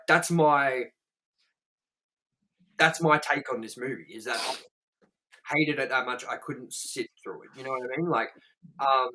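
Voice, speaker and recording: A man's voice: medium pitch, pushed and bright, low-quality recording. A man co-hosting a podcast, talking casually and at length, rambling with lots of fillers and swearing. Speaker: podcast co-host. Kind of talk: casual chat.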